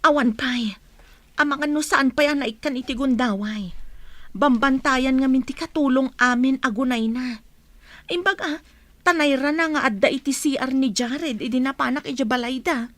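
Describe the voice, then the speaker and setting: A woman's voice: high voice, moderate pace, fairly bright tone, dry recording. A woman with an Ilocano accent playing a worried, watchful young friend in a radio drama. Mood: concerned.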